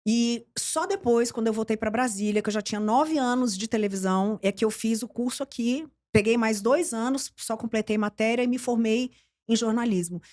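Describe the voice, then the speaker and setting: A middle-aged woman from Brazil who works as a TV journalist, speaking in a slightly high voice. She tells her stories vividly and slips into the voices of the people she met. It is a relaxed interview conversation. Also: moderate pace. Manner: lively storyteller, acts out quoted dialogue. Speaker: journalist and TV presenter, guest. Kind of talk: storytelling interview chat.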